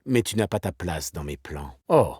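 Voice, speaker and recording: An actor playing a suave, vain, elegant male weapons designer in a video game, with a theatrical, smug and courteous, smoothly ironic manner. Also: sits low, very animated, moderate pace, darkish tone, no room sound, studio quality, exaggerated voice. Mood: smug, cold. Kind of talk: video game character voice lines.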